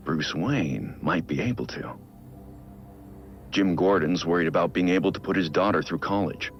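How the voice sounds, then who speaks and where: An actor playing a brooding billionaire vigilante, recording a measured, reflective personal audio log in a game. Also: male voice, highs cut off, heavily acted. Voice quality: low gravelly voice. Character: brooding billionaire vigilante, man in his prime.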